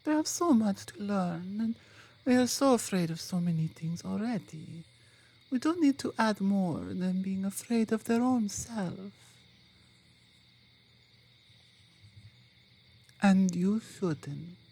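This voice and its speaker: A woman voicing a loving, tender mama bear in an ASMR roleplay, speaking softly and slightly breathily, with halting, foreign-sounding phrasing and an affectionate manner. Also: mid-range voice, expressive. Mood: gentle, reassuring. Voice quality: soft, hushed, slightly breathy. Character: loving, tender female mama bear, devoted mate and mother. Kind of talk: ASMR roleplay, intimate scripted monologue.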